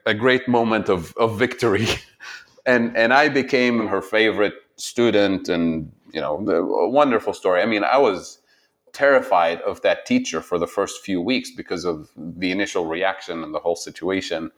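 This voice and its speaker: A man speaks low and unhurried, weighing his words as he looks back on his life. He stops and restarts often, with quiet laughter woven through his story. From Tunisia. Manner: reflective, halting, laughs often. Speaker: interviewee. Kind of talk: personal storytelling interview.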